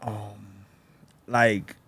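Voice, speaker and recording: Low-voiced young man, rambling chat. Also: little pitch movement, fairly bright tone, slightly rough. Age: 20s. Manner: rambling, halting, many fillers and restarts, says bro. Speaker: podcast co-host. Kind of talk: casual reflective chat.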